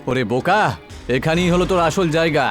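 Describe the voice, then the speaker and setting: A man voicing an arrogant, callous rich young bully in an animated story. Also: on the high side, fairly quick, faint music behind, lightly acted. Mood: cruel.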